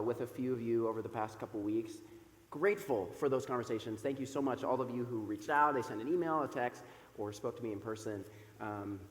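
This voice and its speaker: A man in his thirties, a pastor speaking from the pulpit in a church sanctuary through a microphone, loud and chatty with many fillers. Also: medium pitch. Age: 30s. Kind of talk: sermon. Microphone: microphone over a PA system. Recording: echoey church hall with long reverb.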